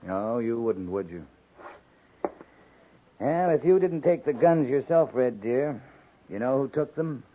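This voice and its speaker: An American man playing a firm, fair-minded cavalry captain, measured and plain-spoken, in a 1950s radio western. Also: medium pitch, animated. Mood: firm, resolute. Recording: muffled, band-limited 1950s radio recording.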